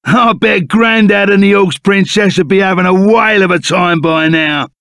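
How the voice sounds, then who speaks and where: A man voicing a big, gruff, good-hearted bandit sidekick in a video game, with a gravelly Cockney accent and a blunt, chummy way of talking. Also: high-pitched, moderate pace, fairly bright tone, dry recording, clean studio sound.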